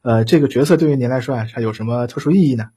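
A man hosts a live interview in a mid-pitched voice with a slightly husky grain. His phrasing is conversational and halting, as he feels his way through questions. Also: warm tone. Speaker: interview host.

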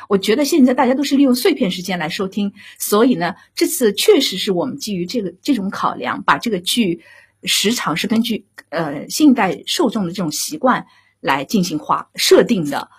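A woman speaking as a director in a live discussion, explaining things haltingly as she searches for words. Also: medium pitch, very animated, breathy edge. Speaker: radio drama director.

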